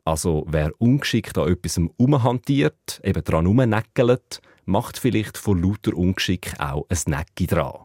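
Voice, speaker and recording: A man with a Zurich Swiss German accent, a dialect expert explaining a word's meaning in a measured radio segment. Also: deep voice, animated, darkish tone, no echo, clean studio sound. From Zurich, Switzerland.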